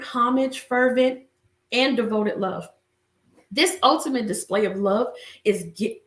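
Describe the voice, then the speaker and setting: Chatty woman coach with a slightly raspy voice. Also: fairly high pitch, echoey room. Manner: chatty, many filler restarts. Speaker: relationship coach. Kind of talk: casual live stream talk and book reading.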